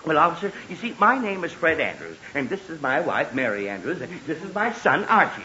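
A man's voice: on the high side, huge pitch swings, a little fast. An American actor playing a middle-aged, flustered and stammering suburban father in 1940s radio comedy style. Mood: flustered, placating. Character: flustered, exasperated suburban father pleading for order. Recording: muffled, noisy, echoey 1940s radio broadcast.

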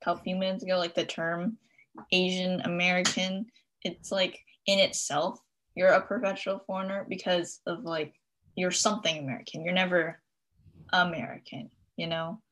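A woman's voice: fairly low pitch, level tone, normal speed, a bit bright. A young woman co-hosting a casual podcast, reflective and hesitant, thinking aloud with many fillers. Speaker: podcast co-host.

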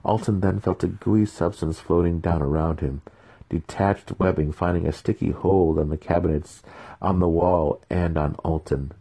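A man with a deep voice narrates fantasy fiction dramatically. His tone is husky and rough-edged, delivered as a scripted audiobook reading. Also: fairly even intonation, normal speed, warm tone, poor audio.

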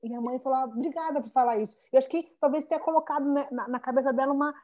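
A Brazilian-born woman in her thirties, telling stories with lots of restarts in a relaxed interview. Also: high-pitched, very fast, highs cut off. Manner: animated storyteller, many restarts and "né". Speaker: founder of a Portuguese school for children. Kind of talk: conversational interview.

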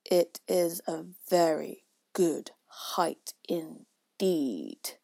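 A woman voicing a curt, contrary, sleepy caterpillar in a storybook, with slow, drawn-out, terse delivery. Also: medium pitch, mellow, breathy edge, no echo, clean studio sound, exaggerated voice. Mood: stern, contrary. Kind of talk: storybook reading.

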